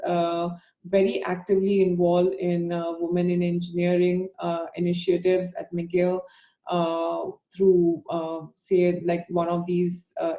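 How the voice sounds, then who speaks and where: A woman giving a panel presentation, speaking hesitantly with many filler pauses. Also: lowish voice, mellow. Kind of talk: presentation talk. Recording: echoey, dull lo-fi sound.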